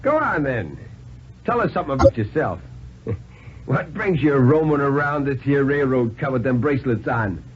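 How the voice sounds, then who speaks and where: A man's voice: mid-range voice, animated, normal speed, muffled recording, slight background noise, pitched above the performer's own voice, lightly acted. A man acting a sly, uneducated tramp with a mocking, slangy rustic drawl in a 1950s radio reading. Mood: gleeful, taunting. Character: jeering, folksy male tramp, sly and uneducated.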